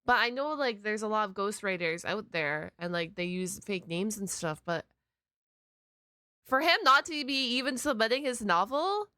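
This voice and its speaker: A woman reacts casually to a video as its host. Her voice is bright and clean, recorded close and dry. She is expressive and slangy, breaking into exclamations. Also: mid-range voice, normal speed, no room sound. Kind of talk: casual live reaction commentary.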